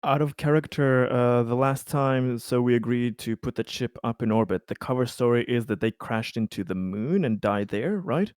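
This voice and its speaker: A man takes part in a roleplaying game as a player. His voice sits at a medium pitch, and he talks casually about play. The sound is dry, with no room on it. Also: moderate pace, hardly any pauses, a little mellow, clear, resonant tone, no room sound. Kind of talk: casual out-of-character game chat.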